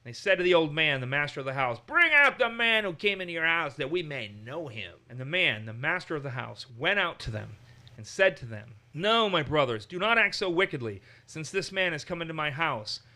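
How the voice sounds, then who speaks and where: Man, Bible teacher, bright tone, a bit high, animated, acts out quotes. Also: a little fast. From Massachusetts. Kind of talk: conversational Bible study.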